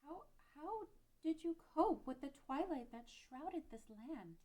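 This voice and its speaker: Woman playing a very high-pitched earnest heroine. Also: animated, slow, darkish tone. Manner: earnest, consoling. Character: compassionate young heroine, earnest and encouraging. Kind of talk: amateur table read of fantasy drama.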